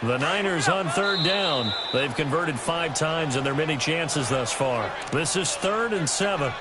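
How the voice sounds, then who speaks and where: Through heavy background noise comes a video game football announcer. His voice sits high for a man. He calls each play in short, clipped, energetic phrases. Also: unhurried. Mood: measured, focused. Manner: clipped present-tense calls. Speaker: play-by-play commentator. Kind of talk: sports commentary. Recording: loud stadium noise over voice.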